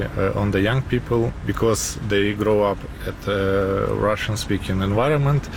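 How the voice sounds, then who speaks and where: Gravelly young Ukrainian man, hesitant English. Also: fairly low pitch, background noise. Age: young adult. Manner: halting, non-fluent English. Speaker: lawyer, interviewee. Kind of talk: explanatory interview.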